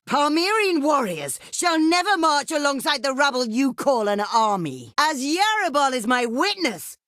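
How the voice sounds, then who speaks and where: Casting: proud desert queen, video game. Theatrical, haughty, courtly diction, very animated intonation, dry close studio sound. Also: sits high, no room sound, full character voice. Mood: scornful, outraged. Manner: haughty, theatrical, formal and ornate phrasing. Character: proud, imperious ancient desert queen, scornful and grandly courteous. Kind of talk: video game diplomacy voice lines.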